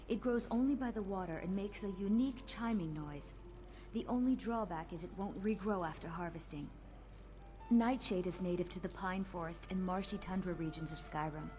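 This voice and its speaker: An actress playing an ambitious young woman alchemist in a game, with a measured, eerie formal delivery. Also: on the low side, warm tone, natural voice. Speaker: game character.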